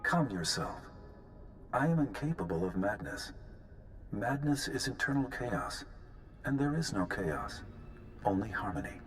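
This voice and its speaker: A man playing a serene ancient Jedi holocron teacher in a video game, speaking in a measured, formal, unemotional way. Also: lowish voice, big pitch swings, fairly bright tone. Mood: serene, solemn. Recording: game music underneath.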